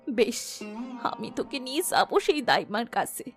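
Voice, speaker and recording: Devoted servant mother, fairly high voice, plaintive, anxious, melodramatic cartoon delivery, music behind. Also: sing-song, moderate pace, a bit bright. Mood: worried. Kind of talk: Bengali fairy-tale cartoon dubbing.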